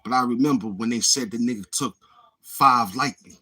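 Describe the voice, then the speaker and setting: A man's voice: medium pitch, moderate pace, bright, forceful tone, breathy edge. A man hosting his own channel in a boastful, taunting livestream monologue, swaggering and repeating himself. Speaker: YouTube channel host.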